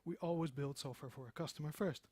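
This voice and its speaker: Higher-voiced, emphatic man. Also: quick pace, a little mellow, husky, no echo. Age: middle-aged. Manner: emphatic, repeats phrases for stress. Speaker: conference speaker, software developer. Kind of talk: informal conference presentation. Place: meeting room with seated audience, indoors.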